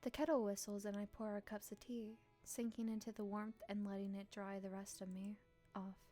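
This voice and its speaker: A woman streamer, playful and expressive, reading a visual novel aloud and chatting on a live stream. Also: medium pitch, moderate pace, faint music behind. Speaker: game streamer. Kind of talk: live stream chat and read-aloud narration.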